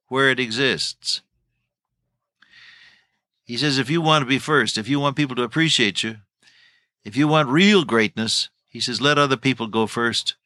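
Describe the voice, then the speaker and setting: A man's voice: mid-range voice, pushed and bright, no echo, studio quality. An older man teaching the Bible on radio, telling folksy stories and chuckling as he goes.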